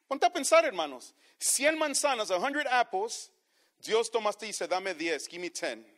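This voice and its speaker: High-voiced man preaching a bilingual sermon. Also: a bit bright. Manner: preaches each phrase in Spanish then repeats it in English; keeps addressing the congregation as brothers. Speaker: pastor.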